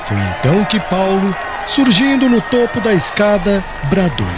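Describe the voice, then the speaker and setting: A man reading scripted audiobook narration as an expressive, solemn storyteller. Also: high voice, a little slow, crowd noise. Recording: music behind the voice.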